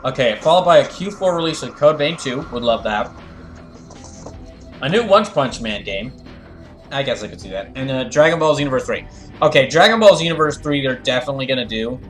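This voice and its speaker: A man's voice: mid-range voice, fast talker. A man chatting casually on a gaming livestream, rambling and repeating phrases as he thinks aloud. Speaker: streamer doing live fandub of a game and reading chat. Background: game music.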